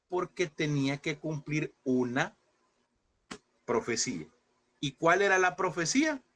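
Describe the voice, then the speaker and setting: A man teaches a Bible class with a slightly raised pitch. He asks questions, repeats them, and stretches out the important words so his students can follow. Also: fairly bright tone. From El Salvador. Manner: addresses listeners as brothers, asks and repeats questions; slows and stresses key words.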